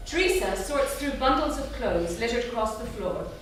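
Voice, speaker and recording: A woman's voice: a little high, expressive, moderate pace. A woman reading stage directions as scripted narration at a staged theatre reading. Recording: distant, very echoey hall, clear noise.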